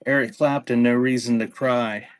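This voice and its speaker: A male live auction host with a mid-pitched voice. He announces each item in brief, clipped phrases. Between lots he slips into casual chat with the people watching. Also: steady tone, moderate pace, dry recording. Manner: clipped announcements mixed with casual asides to viewers. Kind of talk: live-stream sales auction, calling out items in short phrases.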